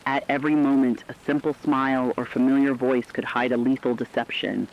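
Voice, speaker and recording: A man delivering scripted apocalyptic horror narration in a grave, measured storytelling manner. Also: mid-range voice, steady tone, dull audio.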